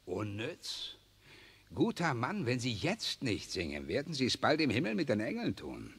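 Casting: grausamer, spöttischer SS-Offizier, heller rauer Ton, sehr bewegte Stimme, Hörspiel der 60er mit Nachhall. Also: male voice, medium pitch, wildly swooping pitch, moderate pace, fairly bright tone, slightly rough, full character voice. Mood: mocking. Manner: sneering, taunting, barking orders. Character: sadistic mocking male SS officer. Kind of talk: 1960s German radio play acting. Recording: reverberant old mono radio play.

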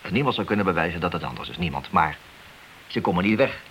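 Glory-hungry policeman, low voice, animated delivery, insistent, radio play, old hissy recording. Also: fast, lo-fi sound. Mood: scheming, confident. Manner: insistent, persuasive, emphatic. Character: ambitious, persuasive, glory-seeking policeman plotting an unofficial bust. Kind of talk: radio play dialogue acting.

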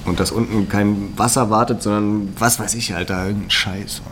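A man's voice: fairly low pitch, steady tone, normal speed, natural voice. An actor playing a cocky, down-on-his-luck young man who rambles in laddish slang in a naturalistic radio drama. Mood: rambling, evasive. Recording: noisy location ambience.

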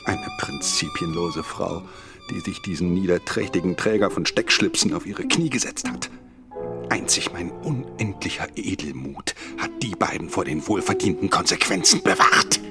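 A man's voice: sits low, huge pitch swings, normal speed, over music. A man playing a proud, jealous engineer husband in a radio drama, theatrical, sarcastic and self-righteous. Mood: bitter, self-righteous.

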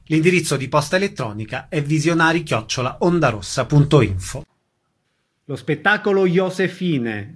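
A man reading a scripted announcement as a radio show presenter. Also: a little high.

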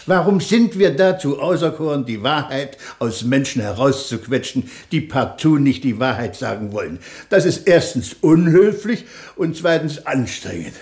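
An actor playing a lazy, world-weary chief inspector, grumbling and theatrical with a creaky voice in a satirical radio play. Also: male voice, medium pitch, lively intonation, moderate pace, hardly any pauses, darkish tone, lightly acted.

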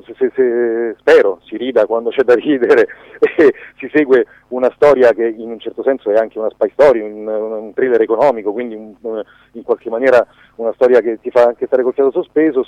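A man giving a conversational radio interview as a filmmaker, haltingly searching for words and restarting phrases. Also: medium pitch, no echo. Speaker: documentary filmmaker being interviewed. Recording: dull lo-fi radio sound, dry.

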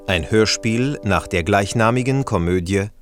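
A man's voice: lowish voice, measured pace. A man formally announcing the title of a radio play. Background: brass music.

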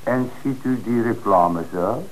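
A man's voice: mid-range voice, measured pace, lightly acted. An eager police detective who presses his point insistently, in a mid-range voice, as an actor plays him in an old radio play. A faint hiss sits under the old broadcast. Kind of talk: 1960s radio play acting. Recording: noisy old radio recording.

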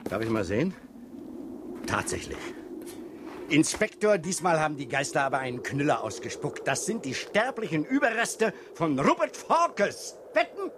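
Excitable veteran policeman, lively intonation, teasing, theatrical, radio crime drama, faint noisy ambience. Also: on the high side, normal speed. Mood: amused, excited. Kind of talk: 1970s German radio crime drama acting.

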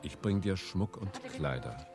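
An actor playing an insistent, seductive sea captain in a radio play, with theatrical, coaxing delivery that can turn menacing. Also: male voice, low voice, animated, unhurried, creaky, heavily acted. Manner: theatrical, coaxing, abrupt shifts between whispers and shouts. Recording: music behind the voice.

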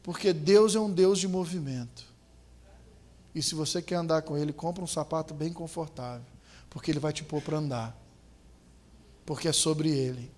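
A man's voice: on the high side, moderate pace, long pauses, a little mellow, slight background noise. A man preaching a sermon, telling a story with rising, emphatic build-ups.

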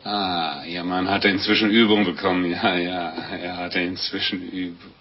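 A man's voice: low-pitched, level tone, normal speed, natural voice. An actor in a 1950s German radio drama playing a naive, horrified priest, hesitant and exclaiming as he hears a confession. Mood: aghast, faltering. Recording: dull, noisy old radio recording.

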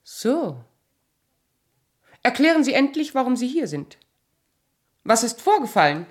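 A woman acting a proud, bitter, calculating queen and abandoned wife in a German radio play, speaking in a cool, sharp-tongued, imperious way. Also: fairly high pitch, big pitch swings, a little slow. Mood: sharp, suspicious.

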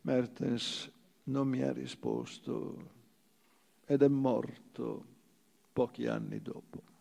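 A man giving a sober, measured theatrical reading of a literary memoir, as an actor on stage. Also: medium pitch, fairly even intonation, vocal fry, mellow. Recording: long reverberant hall.

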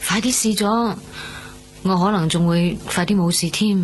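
An actress playing a stubborn but reassuring recovering patient in a radio drama, with a Hong Kong Cantonese accent. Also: female voice, mid-range voice, moderate pace, soft background music, natural voice. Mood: gently insistent. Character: soft, stubborn, reassuring young woman recovering from surgery.

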